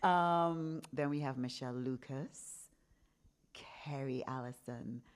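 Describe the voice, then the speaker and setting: A woman's voice: a bass voice, slow, clear, resonant tone. A woman in her fifties from England, a podcast host telling her own story in a candid, reflective conversation. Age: 50s. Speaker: podcast host being interviewed.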